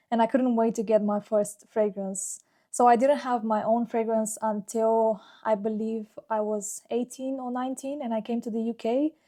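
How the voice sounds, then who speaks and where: A woman chats in a relaxed, personal vlog style. She sits a little above the usual pitch for a woman but keeps a fairly even melody. Her tone is soft and mellow, and she speaks fondly, with plenty of "like" fillers. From Romania. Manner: chatty and fond, with frequent "like" fillers. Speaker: fragrance YouTuber. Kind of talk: casual personal vlog talk.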